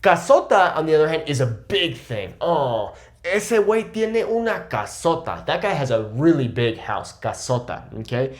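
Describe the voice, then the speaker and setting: A young man teaching Spanish in a casual video lesson, explaining points in a lively way and often checking in with "okay?". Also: fairly high pitch, normal speed.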